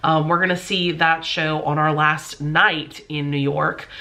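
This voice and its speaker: A young woman vlogs in easy, chatty talk. Her voice sits a little low but sounds bright and clear, with a stuffy, congested nose from a cold. Fillers like "um" and "like" run through her speech. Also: steady tone, unhurried. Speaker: vlogger. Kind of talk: casual chat to camera.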